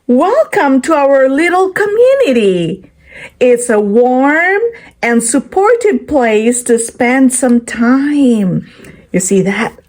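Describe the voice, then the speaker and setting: A woman reads a picture book aloud for young children in a high voice that swoops widely up and down. She takes it very slowly, like a lesson, stopping to put questions to her listeners and praise them. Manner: teacherly, pausing to quiz and praise young listeners.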